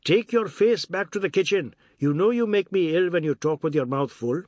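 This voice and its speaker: A man's voice: sits high, lively intonation, normal speed, darkish tone, no room sound, pitched above the performer's own voice. A man voicing a boastful, mischievous older male relative in an audiobook, needling and lecturing emphatically. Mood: irritated.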